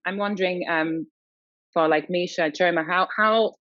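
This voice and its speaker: A woman's voice: lowish voice, moderate pace, fairly bright tone, no echo, highs cut off. A woman who grew up in Austria, moderating a panel and hesitating and repeating words as she asks questions. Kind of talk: panel discussion.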